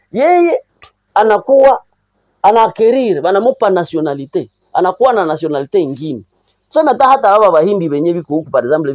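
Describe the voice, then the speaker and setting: Animated high-voiced Congolese man explaining. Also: no echo. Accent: Congolese Swahili. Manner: rhetorical questions answered himself, emphatic. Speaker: civic educator presenting a program. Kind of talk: explanatory talk on law, mixing languages. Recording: dull lo-fi dry recording.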